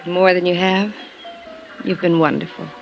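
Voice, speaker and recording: An actress playing a tender, grieving young woman of wealth in a mid-century radio drama, halting and emotional. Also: on the low side, little pitch movement, vocal fry, muffled recording, soft background music. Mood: tearful, grateful. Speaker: supporting actress. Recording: noisy old radio recording.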